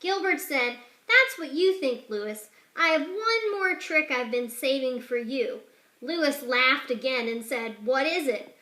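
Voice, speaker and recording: Female narrator for a kids' picture-book read-aloud, high and bright, lively character voices, roomy echo. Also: unhurried. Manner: expressive, shifts pitch and loudness for each character. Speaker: teacher reading aloud.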